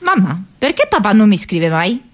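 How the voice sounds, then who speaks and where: An actress playing an idealistic, restless young woman in a radio theatre monologue, speaking expressively and haltingly, like an affectionately rebellious daughter. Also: medium pitch. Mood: teasing, indignant. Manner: halting, expressive, with broken repetitions.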